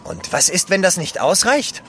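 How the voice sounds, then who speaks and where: A man's voice: high voice, wildly swooping pitch, normal speed, pushed and bright, a little hiss or hum, full character voice. An actor playing a sceptical, grumbling man of a ship's company in an audio drama. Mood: doubtful. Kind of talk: German radio play acting.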